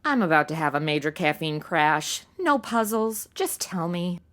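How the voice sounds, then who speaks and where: A woman's voice: lowish voice, sing-song, normal speed, fairly bright tone. A woman playing a brash, sarcastic modern young woman in a comedy audio drama, full of slang and exclamations. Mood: grumpy, incredulous. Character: sassy modern young woman, snarky, brash amateur sleuth.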